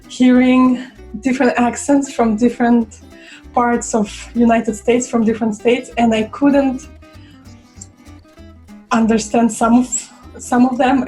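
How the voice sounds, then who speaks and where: Brief: young Russian-accented woman, fairly high voice, chatty vlog delivery, soft music bed. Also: rough recording. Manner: chatty, searching for words with fillers. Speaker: vlogger. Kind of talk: casual talk to camera.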